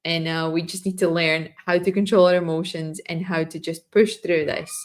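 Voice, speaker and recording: A woman hosting a live trading stream, losing her voice and clearing her throat as she explains in a loose, restarting way. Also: lowish voice, steady tone, normal speed.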